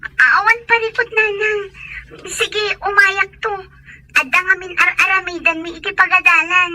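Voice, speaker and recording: A woman's voice: extremely high pitch, fairly even intonation, normal speed, sharp tone, exaggerated voice, poor audio. A woman playing an anxious, sulky young pregnant student girlfriend in an audio drama. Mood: evasive, whiny. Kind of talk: Ilocano audio drama acting.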